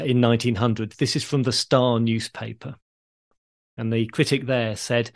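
A man's voice: fairly high pitch, darkish tone. British man hosting a conversational podcast. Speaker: podcast host. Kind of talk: conversational podcast talk with read quotations.

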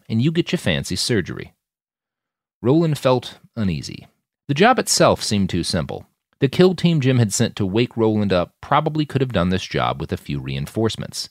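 A man with a low voice narrates scripted fiction for a podcast. His intonation rises and falls expressively, and the sound is dry and close. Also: no echo. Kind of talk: scripted dramatic audiobook narration.

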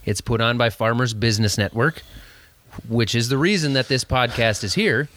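A man's voice: medium pitch, moderate pace, fairly bright tone, slight background noise. Easygoing Minnesotan man telling stories. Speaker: podcast guest, farmer. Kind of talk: casual podcast chat.

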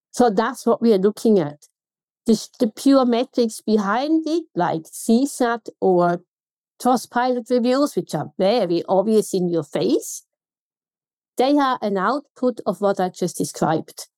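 Swiss woman, Swiss German accent, consultant guest; emphatic and pointed, mid-pitched, dry close mic. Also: animated, measured pace, dark tone, dry recording. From Switzerland. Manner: emphatic, pointed rhetorical questions. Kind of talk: podcast interview.

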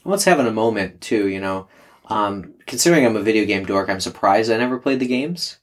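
A man's voice: on the low side, animated, brisk. Joking male book podcast host. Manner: self-deprecating, joking, leads the discussion. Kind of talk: casual book club chat.

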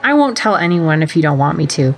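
A woman playing a friendly, curious young woman, casual and gently reassuring, in a dramatised fan fiction reading. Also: sits low, big pitch swings, brisk, creaky, faint music behind, exaggerated voice.

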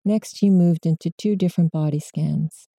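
A woman leading a guided meditation, giving gentle, measured instructions as a meditation teacher. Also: lowish voice, creaky, no echo.

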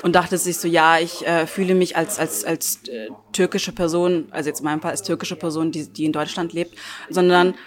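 A woman's voice: on the low side, steady tone, normal speed. A woman in her twenties from near Stuttgart, a psychology student, answering interview questions thoughtfully, with some restarts. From Germany, near Stuttgart. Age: 20s.

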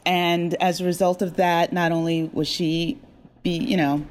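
An American woman from New Jersey with a slightly low voice talks as a podcast guest. She explains things in a casual, conversational interview style. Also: flat delivery, a little slow. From New Jersey, USA. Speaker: guest, audio drama writer and producer.

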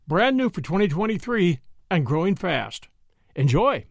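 High-pitched, animated male host. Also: unhurried, gravelly, loud music behind the voice. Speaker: podcast host. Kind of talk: scripted podcast introduction.

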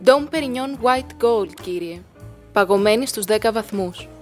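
An actress playing a polite, deferential waitress in an upscale nightclub in a radio drama. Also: female voice, medium pitch, over music, close to an everyday voice. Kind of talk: radio play acting.

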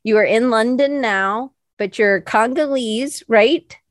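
A woman's voice: a little high, slow, no echo, clean studio sound. Enthusiastic woman hosting an interview. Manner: enthusiastic, effusive praise. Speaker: host. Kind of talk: casual interview.

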